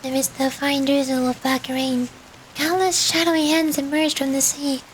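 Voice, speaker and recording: A woman streamer reading a game's story aloud in a dramatic, deliberate way. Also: high-pitched, little pitch movement, a little hiss or hum, low-quality recording.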